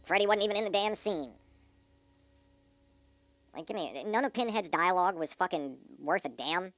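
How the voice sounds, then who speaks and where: A woman's voice: lowish voice, animated, normal speed, a bit bright, no room sound. A woman giving a blunt, profane, scornful rant as a movie reviewer. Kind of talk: ranting film review commentary.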